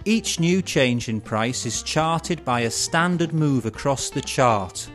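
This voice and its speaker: A middle-aged man presenting scripted instructional narration in a measured, explanatory way, posing rhetorical questions. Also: medium pitch, animated, fairly bright tone. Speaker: presenter of an educational film. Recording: music bed behind studio voice. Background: instrumental music.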